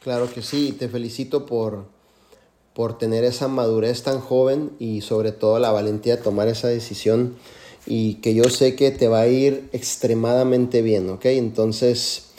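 Man, mellow voice, mid pitch, earnest mentor. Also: level tone, unhurried. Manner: earnest, repeats filler "este". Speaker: mentor answering questions. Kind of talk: motivational mentoring call.

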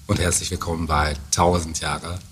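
A man's voice: extremely low pitch, flat delivery, moderate pace. A man in his forties hosting a casual podcast, rambling and hesitant with many fillers. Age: 40s. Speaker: podcast host. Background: faint music.

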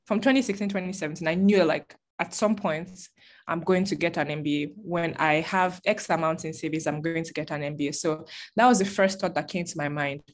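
A woman's voice: low-pitched, fairly quick. A young woman from Nigeria, chatting casually as a podcast guest and laughing often. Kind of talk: casual chat.

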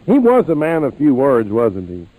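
Male host, relaxed dark-edged tone, conversational, wordy questions, casual interview delivery. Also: mid-range voice, moderate pace, rough recording.

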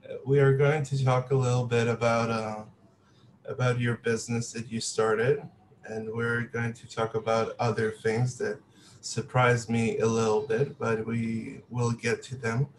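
A man's voice: mid-range voice, level tone, unhurried, slight background noise, poor audio. A man hosting a podcast interview, speaking casually with frequent hesitations. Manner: hesitant, filler-heavy. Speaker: podcast host.

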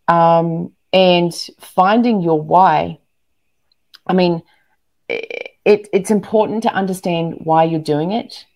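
A woman's voice: fairly low pitch, gravelly, darkish tone. A woman talking energetically as an interview guest, emphatic and often repeating words for emphasis. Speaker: guest, entrepreneur and speaker. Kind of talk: animated interview talk to a live online audience.

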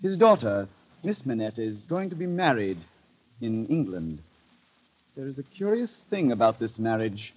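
A man acting in a 1930s radio drama as a sly, insinuating informer who probes with theatrical, deliberate pauses. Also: medium pitch, sing-song, slightly rough. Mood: sly, probing. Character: sly, insinuating male informer, probing and needling. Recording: dull, muffled vintage radio recording.

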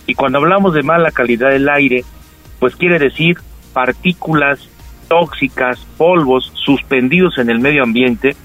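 A man's voice: medium pitch, a little slow, poor audio. Male doctor, radio guest, patient explainer, faint music under. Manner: calm explanatory, with fillers. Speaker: doctor giving health advice. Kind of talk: radio health segment guest.

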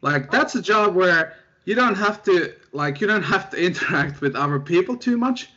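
A young man from Finland, a researcher, chatting in an interview in a relaxed, self-deprecating way with lots of fillers. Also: high-pitched, normal speed. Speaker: guest researcher. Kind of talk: casual interview chat. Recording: dull lo-fi sound.

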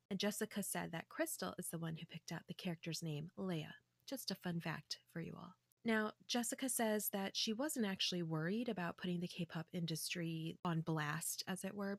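A woman with a low-set voice hosting a solo podcast. She talks through prepared commentary in a chatty way and tosses in casual asides. The sound is close and dry. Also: dry recording. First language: English. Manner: chatty, with asides and light laughs. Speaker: podcast host.

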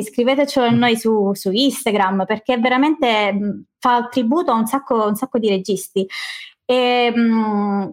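Higher-voiced woman, enthusiastic and wordy. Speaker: podcast host. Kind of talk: casual film commentary.

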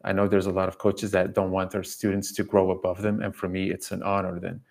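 Man, low voice, English, talkative, emphatic, long explanations, casual interview guest, dog coach. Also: completely flat delivery, brisk, gravelly, darkish tone. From Belgium. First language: not English. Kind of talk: casual podcast conversation.

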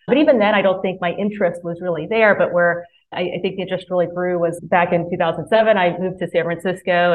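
Woman guest, kinda low voice, earnest, restarts a lot, sounds like she's on a phone line. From Mississippi. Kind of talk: podcast interview.

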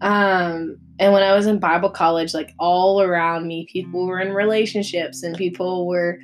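A woman, co-hosting a faith podcast in casual chat, earnest and halting with many restarts. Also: fairly low pitch, fairly even intonation, faint music behind. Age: 20s. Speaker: podcast co-host.

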